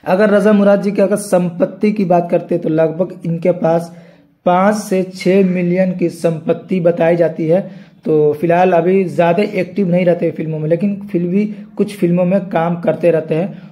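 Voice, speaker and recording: A man's voice: sits high, steady tone, normal speed, dark tone. A man narrating a building tour for his viewers in a rambling way, repeating filler phrases and correcting himself. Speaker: vlogger. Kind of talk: tour-guide narration over footage.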